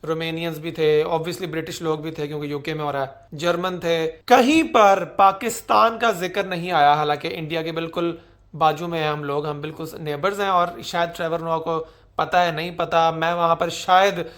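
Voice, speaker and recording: High-voiced Pakistani man musing aloud. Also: fairly even intonation, normal speed, fairly bright tone. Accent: Pakistani Urdu. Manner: earnest, rambling, self-mocking. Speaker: vlogger. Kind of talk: casual monologue mixing Urdu and English.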